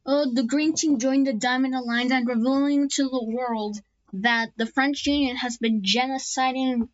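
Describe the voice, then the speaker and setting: A woman casually narrating an alternate history map series, halting often with restarts and fillers. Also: high-pitched, steady tone, dry recording, highs cut off.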